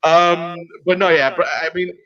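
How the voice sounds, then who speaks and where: Male podcast host, lighter high-set voice, rambling casual delivery, a bit lo-fi. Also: fairly even intonation, a bit bright. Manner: self-described geek, rambling and halting.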